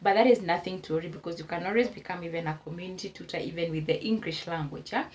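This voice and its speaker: A woman's voice: on the low side, fast, a little mellow. A Kenyan woman vlogging casual advice to camera, repeating her points for emphasis and exclaiming as she goes.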